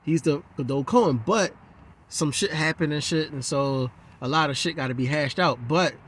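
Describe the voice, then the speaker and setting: A man with a fairly high, husky voice rants in a loose, profane, rambling way. He sounds heated and keeps circling back to his points. He is outdoors with traffic passing nearby. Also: moderate pace, fairly bright tone. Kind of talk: casual rant.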